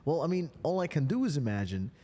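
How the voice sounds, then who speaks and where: A man's voice: fairly high pitch, sing-song, fast talker, warm tone. Fired-up male host with long reverb. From the Netherlands. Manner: emphatic and fired up, swears for emphasis. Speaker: podcast host. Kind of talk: hyped motivational podcast chat.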